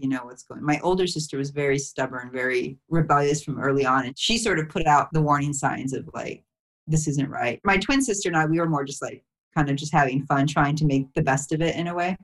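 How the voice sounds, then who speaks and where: A woman with a notably low voice talks through her past as a podcast guest. She grew up in Thailand, and her speech is reflective and soft, full of "you know" and small laughs. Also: fast talker, rough recording. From grew up mostly in Thailand. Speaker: memoir author, podcast guest. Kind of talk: casual interview chat.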